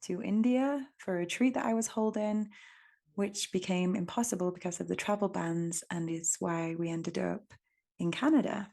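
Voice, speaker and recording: A woman from England with an English accent, hosting a reflective solo podcast in a gentle, unhurried, contemplative way. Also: lowish voice, fairly even intonation, vocal fry. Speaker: podcast host.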